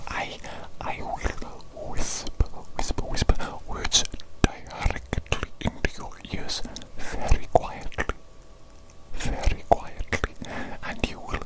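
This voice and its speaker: A woman voicing an evil, doting mad doctor in a breathy ASMR whisper, with formal, repetitive phrasing. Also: natural voice, poor audio. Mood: doting, theatrical. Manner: slow, repetitive, formal old-fashioned phrasing. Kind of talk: whispered ASMR medical roleplay.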